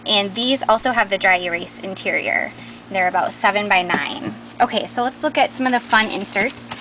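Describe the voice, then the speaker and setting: A woman's voice: mid-range voice, normal speed. Woman casually showing off products, chatty and informal, handheld camera, pretty noisy warehouse sound. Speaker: host showing new craft store products. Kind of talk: casual unscripted product walkthrough. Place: large indoor warehouse aisles. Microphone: handheld camera's built-in mic.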